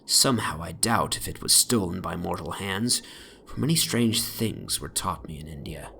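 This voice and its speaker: A man giving a solemn, brooding dramatic reading of a first-person horror story, in literary phrasing that often sinks into a hush. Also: low voice, lively intonation, moderate pace, gravelly, slight background noise. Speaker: narrator, dramatic reader. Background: ambient soundscape.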